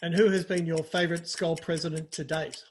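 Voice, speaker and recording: A man with a notably high voice hosts a rapid comic interview. He teases his guest in a playful, mock-grand manner. Faint background noise sits under the recording. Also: little pitch movement. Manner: teasing, mock-formal and playful. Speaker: interview host. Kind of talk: scripted quick-fire comic interview.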